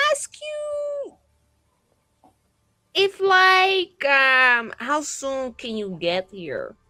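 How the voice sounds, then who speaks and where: Woman playing a sassy, vivacious, slow-drawn flirty adventuress. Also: high voice, a bit bright, dry recording, pitched above the performer's own voice, full character voice. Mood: gushing, coaxing. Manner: rambling, sassy, theatrical, casual modern slang. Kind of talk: improvised tabletop roleplay acting.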